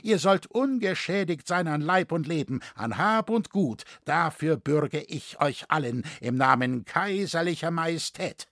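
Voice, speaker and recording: A man's voice: mid-range voice, big pitch swings, slow pace, vocal fry, fairly bright tone, slightly rough, no echo, studio quality, full character voice. A man voicing a haughty, stern imperial captain in a story, dignified and declamatory in archaic speech. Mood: stern, proclaiming.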